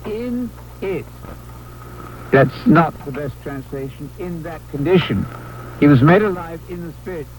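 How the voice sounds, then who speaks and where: Higher-voiced male Bible teacher on radio. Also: low-quality recording. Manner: emphatic short phrases, explanatory. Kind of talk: radio sermon, verse-by-verse teaching. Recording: radio broadcast with faint noise.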